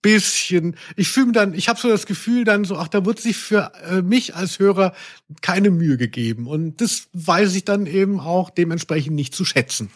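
A man with a somewhat high voice co-hosts a casual, humorous podcast. He talks in wordy sentences with a mock-indignant tone. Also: moderate pace. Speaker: podcast co-host.

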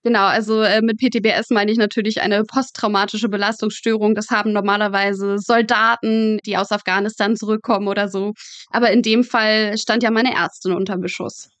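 A woman's voice: mid-range voice, flat delivery, brisk, fairly bright tone, no echo. A woman in her twenties, a student guest in an interview, telling anecdotes in a humorous, vivid, colloquial way. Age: 20s. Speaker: guest, medical student working in a pathology practice. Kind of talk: lively interview storytelling.

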